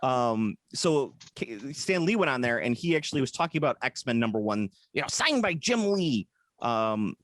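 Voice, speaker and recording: A man's voice: medium pitch, big pitch swings, normal speed, a bit bright. A man co-hosting a podcast, chatting casually in a rambling, hesitant way with lots of fillers and jokes. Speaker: podcast co-host. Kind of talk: casual chat. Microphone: podcast microphone.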